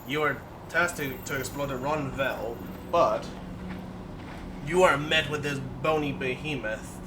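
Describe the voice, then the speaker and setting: A man giving amateur commentary on a video game's story, explaining it haltingly and stumbling over names. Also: medium pitch, background noise. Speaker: gaming video narrator. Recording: distant mic under loud game music. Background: video game music.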